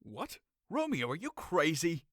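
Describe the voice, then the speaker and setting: Guy as young buddy in an audio play, teasing n pushy, slightly high voice, lots of up and down. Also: a little slow, no echo, studio quality, heavily acted. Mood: coaxing, teasing. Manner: animated, persuasive. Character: young male friend, teasing, brisk, persuasive confidant. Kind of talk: audio drama acting of modernized Shakespeare.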